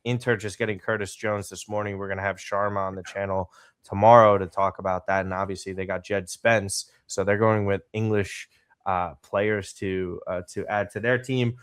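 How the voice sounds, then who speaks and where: A man hosting a casual podcast interview, energetic and rambling as he asks his questions. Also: lowish voice, normal speed, smooth, no echo, clean studio sound. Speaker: podcast host.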